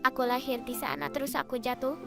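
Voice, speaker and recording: A woman's voice: sits high, level tone, fast. A woman voicing a small, friendly, naive young moon creature in an Indonesian children's cartoon, childlike and earnest. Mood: naive, apologetic. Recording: loud music behind the voice.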